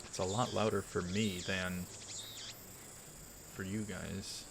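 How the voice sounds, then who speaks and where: A man hosting a let's play, reading a visual novel aloud with casual asides in a halting, measured way. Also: sits low, flat delivery, vocal fry, background noise.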